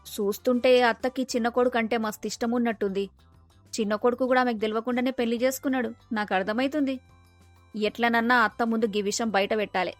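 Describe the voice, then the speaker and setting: A woman's voice: on the high side, fairly even intonation, quick pace, dry recording, faint music behind, close to an everyday voice. A woman with a Telangana accent playing a scheming young daughter-in-law plotting to herself.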